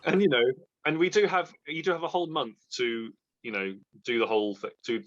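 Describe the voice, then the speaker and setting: A man chatting about books, joking and rambling, his pitch swinging up and down as he talks. The recording sounds dull and lo-fi, with the top end muffled. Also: fairly quick. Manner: jokey, rambling, animated. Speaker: booktuber co-host. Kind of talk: casual chat.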